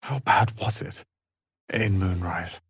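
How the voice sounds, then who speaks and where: A man's voice: sits low, takes it slowly, slightly rough, no echo, clean studio sound, exaggerated voice. A man voicing a haughty, sardonic young wizard's apprentice in a video game, with a pompous, theatrical delivery. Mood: subdued sorrow. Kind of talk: dramatic video game voice acting.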